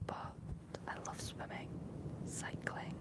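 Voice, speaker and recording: Whispering Scottish woman. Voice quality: soft breathy whisper. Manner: unhurried, reading out and answering viewer comments. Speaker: livestream host. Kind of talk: whispered ASMR livestream chat.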